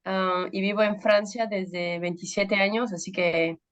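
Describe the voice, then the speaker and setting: A woman in her thirties, a pastor from Peru, with a Peruvian Spanish accent touched by French, giving a women's ministry talk and pausing often with fillers to search for words. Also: mid-range voice, fairly even intonation, muffled recording. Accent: Peruvian Spanish with some French influence. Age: 30s.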